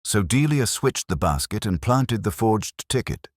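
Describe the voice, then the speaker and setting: A man's voice: lowish voice, sing-song, unhurried, dry recording, clean studio sound, pitched above the performer's own voice. A man playing a careful, inquisitive detective in an audio mystery story. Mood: reasoning. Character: careful, questioning detective, the inspector's colleague.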